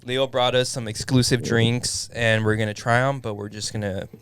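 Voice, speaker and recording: A man's voice: mid-range voice, steady tone, moderate pace, fairly bright tone, clean tone. A man hosting a casual podcast with friends, laughing and swearing, getting emotional. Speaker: podcast host. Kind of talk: casual chat among friends.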